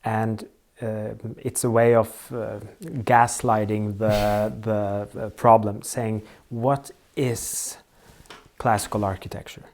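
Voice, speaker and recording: A man interviewing a guest, asking a question in a hesitant, halting way with many fillers. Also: lowish voice, level tone, a little mellow.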